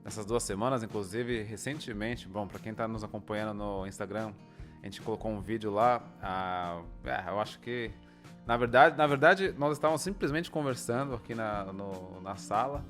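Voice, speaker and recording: A young man with a Brazilian Portuguese accent, chatting casually as co-host and explaining his study method at length, with pauses and restarts. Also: lowish voice, a bit bright, faint music behind. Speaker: co-host, language learner. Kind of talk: casual chat.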